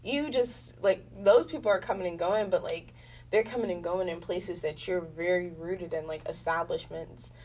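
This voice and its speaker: A woman's voice: fairly low pitch, moderate pace, a little mellow. A woman in a casual, reflective interview, speaking in a slangy, meandering way as the guest. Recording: distant mic, faint background noise.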